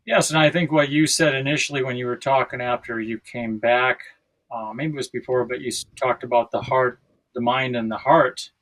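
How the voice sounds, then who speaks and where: A man's voice: medium pitch, fairly even intonation, moderate pace, fairly bright tone, poor audio. An American man in his sixties from South Dakota, talking casually and reflectively as a podcast guest, often searching for his words. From South Dakota, United States.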